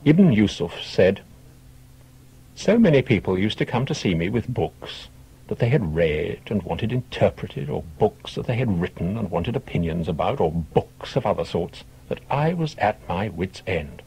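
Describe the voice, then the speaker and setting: A man with a husky voice reading short teaching tales as scripted audiobook narration. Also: fairly low pitch, very animated, moderate pace, dark tone, poor audio.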